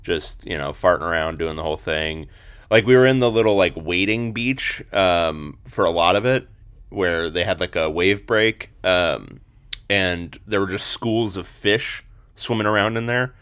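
A man in his thirties hosting a casual sports podcast, slightly hoarse, rambling with lots of fillers and restarts. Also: sits low, unhurried, fairly bright tone. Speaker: podcast host and sports reporter.

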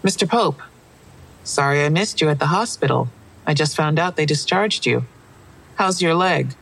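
An actress playing a brisk, abrasive female police detective in an audio drama. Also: low voice, expressive, breathy edge, heavily acted, rough recording. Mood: pointed. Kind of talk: full-cast audio drama acting.

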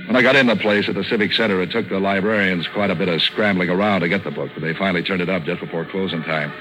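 An actor with an American accent playing a tough, cynical private eye in a 1940s radio drama, slangy and deadpan. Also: male voice, low-pitched, close to an everyday voice, rough recording. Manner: hard-boiled, slangy, deadpan. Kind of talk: 1940s radio noir acting and narration. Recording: vintage 1940s radio broadcast, music behind.

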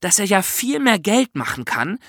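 A man co-hosting a podcast in a lively, conversational style, telling things in a chatty, colloquial way. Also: sits high, normal speed, no room sound, clean studio sound. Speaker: podcast co-host.

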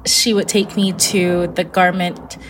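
A woman's voice: fairly low pitch, flat delivery, takes it slowly, a little hiss or hum. A young woman from Malaysia, a fashion designer answering interview questions in a thoughtful, hesitant way. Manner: thoughtful, many fillers and restarts.

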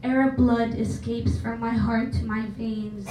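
A young woman from Jordan with an American accent performs spoken word poetry, a native Arabic speaker pressing each line with rhythmic emphasis. Her voice sits a little high and is heard from a distance. The room is echoey and there is a noisy hum in the background. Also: fairly even intonation, measured pace, dark tone. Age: 20s. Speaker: poet at open mic. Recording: roomy, distant, noisy venue.

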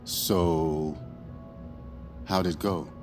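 A secretive male companion in an audio thriller. His deep voice is calm and understated, laying out plans deliberately. Strong music runs underneath. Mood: dismissive, calm. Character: cool, secretive imaginary male companion and escape planner. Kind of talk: audio fiction acting.

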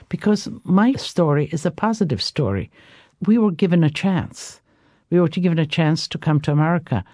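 A woman's voice: fairly low pitch, warm tone, no room sound, studio quality. An older woman chef from Istria with an Italian accent, telling her story in a radio interview, with many fillers. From Istria, Italy/Croatia. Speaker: chef, guest.

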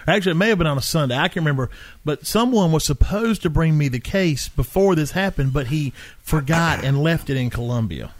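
A man's voice: on the high side, lively intonation, a little fast, hardly any pauses, a little hiss or hum. A man co-hosting a podcast in casual banter with friends, with a teasing, argumentative way of talking. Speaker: podcast co-host.

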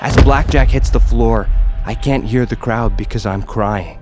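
A man's voice: mid-range voice, unhurried, natural voice. An actor playing a battered young gladiator influencer, narrating his own story in a terse, dramatic, grimly intense way. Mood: anguished. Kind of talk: first-person audio fiction narration. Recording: prominent music under the voice.

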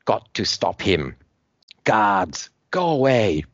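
A man voicing a young female alien outcast who speaks in broken, halting phrases. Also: fairly low pitch, big pitch swings, dry recording, full character voice. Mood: desperate. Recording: dull lo-fi dry recording.

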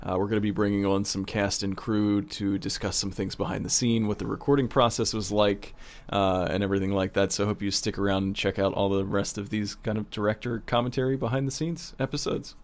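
A man's voice: fairly low pitch, fairly even intonation, fairly quick. A man talking casually on a podcast as a producer and co-host. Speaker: audio drama producer, co-host.

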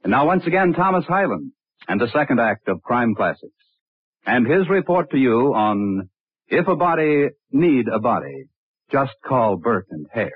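A man's voice: mid-range voice, big pitch swings, normal speed, husky, no echo. A man announcing in 1950s radio style, formal and earnest. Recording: muffled, phone-like vintage radio recording.

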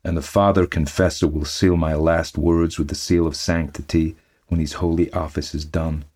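A man reading a ghost story in a put-on American accent, voicing a dying man making his last confession in grave, measured tones. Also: a bass voice, little pitch movement, darkish tone. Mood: grim.

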